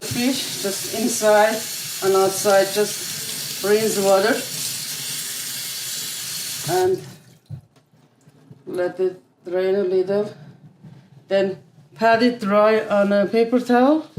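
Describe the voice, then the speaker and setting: A woman from Iran demonstrating a family recipe in her kitchen, explaining each step with frequent pauses and filler sounds. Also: mid-range voice, a little hiss or hum. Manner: unhurried, many filler "uh"s. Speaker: home cook. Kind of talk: cooking demonstration. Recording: echoey room.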